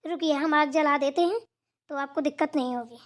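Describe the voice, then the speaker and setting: A woman's voice: high voice, fairly quick, lightly acted. A woman voicing an obliging elder daughter-in-law in a family cartoon, speaking deferentially and agreeably. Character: dutiful, obliging elder daughter-in-law serving her mother-in-law. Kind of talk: amateur Hindi family cartoon dialogue.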